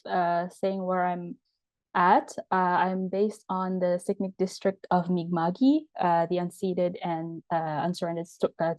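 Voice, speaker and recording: A young woman from Indonesia with an Indonesian accent, a guest in an interview, answering in a measured way with many ums and uhs. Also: lowish voice, a little mellow, dry recording. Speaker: guest, writer and storyteller.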